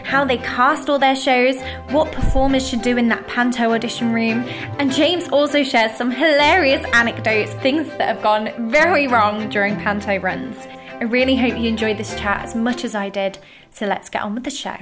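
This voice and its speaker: A woman's voice: a little high, moderate pace, over music. A woman hosting a podcast, interviewing a guest in conversational speech. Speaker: podcast host.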